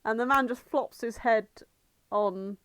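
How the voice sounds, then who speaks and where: A woman's voice: on the high side, a little slow, fairly bright tone, clean tone, no room sound. A woman co-hosting a podcast in casual chat, informal and sweary, rambling through her anecdotes. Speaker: podcast co-host.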